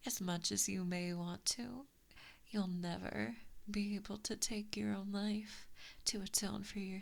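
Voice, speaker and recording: A woman voicing an evil, possessive giant kitsune in a whispered ASMR roleplay, teasing and cruel, close on a binaural mic. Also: medium pitch. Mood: smug, threatening. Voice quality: whispery, breathy. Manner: slow, taunting, mock-sweet. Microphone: close binaural microphone.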